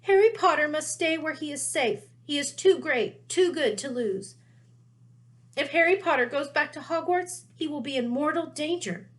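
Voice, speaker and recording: Small servile storybook house elf, played by a woman: high, squeaky, fearful, dramatic, pleading. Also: expressive, normal speed. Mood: distressed. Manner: pleading, refers to self in third person.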